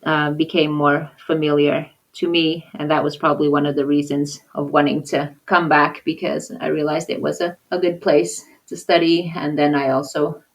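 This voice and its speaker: A woman with a low voice, raised in Papua New Guinea, talking things through as a podcast guest. She pauses and restarts often, weighing each phrase. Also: rough recording. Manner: thoughtful, with frequent hesitations and restarts; lively when acting out conversations. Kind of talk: casual interview talk.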